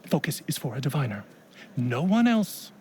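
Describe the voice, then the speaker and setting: Pompous, high-pitched, animated official. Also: male voice, moderate pace, a little hiss or hum. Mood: indignant, insistent. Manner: pompous, formal, legalistic. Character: officious, haughty, accusatory male compliance officer. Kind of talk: video game cutscene acting.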